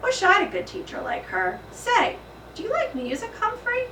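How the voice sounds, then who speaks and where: A big jovial storybook janitor man, acted by a woman, hearty and joking, pushed up high and loud with a bright edge. It is heard in an echoey room, a bit away from the mic. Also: huge pitch swings, moderate pace, heavily acted. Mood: chatty. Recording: roomy echo, distant mic, faint noise.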